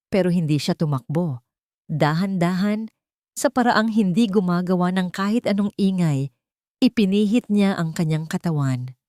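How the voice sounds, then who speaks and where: Woman reading a dramatic love story, lowish voice, solemn and measured, dry close sound. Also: a little mellow, no echo. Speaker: story narrator.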